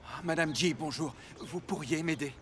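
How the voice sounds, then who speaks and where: A man's voice: on the high side, moderate pace, crowd noise, close to an everyday voice. A man playing a stubborn, lovestruck suitor, earnest and insistent, in a French film dub. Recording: clear background ambience noise.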